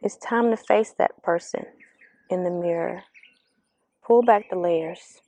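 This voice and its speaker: A woman giving a reflective, earnest motivational talk outdoors, speaking slowly and asking the listener questions, her voice slightly raspy. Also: fairly low pitch, mellow. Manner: slow, earnest, coaching, asks the listener questions. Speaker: vlogger giving spiritual encouragement. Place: outdoors among birds. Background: birdsong.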